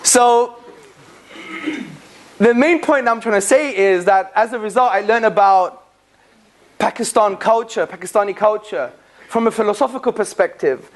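A public speaker giving a lively talk to a live audience on faith and philosophy. The speaker fires rhetorical questions at the listeners, drops in jokey asides and recites scripture quickly. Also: mid-range voice. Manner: jokey asides with bursts of laughter; recites Arabic scripture passages rapidly.

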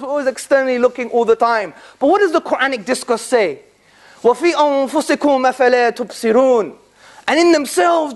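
Lecturer, high-voiced, talking to a live room. Punchy rhetorical questions, playful asides, quick scripture recitation. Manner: rhetorical, fires repeated questions at the listeners; jokey asides with bursts of laughter. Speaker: public speaker addressing an audience. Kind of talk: lively public talk on faith and philosophy.

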